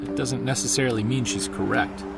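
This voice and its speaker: A man playing a gravelly, guarded investigator. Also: medium pitch, fairly even intonation, brisk, loud music behind the voice, lightly acted. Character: Jared, a weary Sasquatch investigator. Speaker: paranormal investigator narrator. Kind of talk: audio drama narration and dialogue.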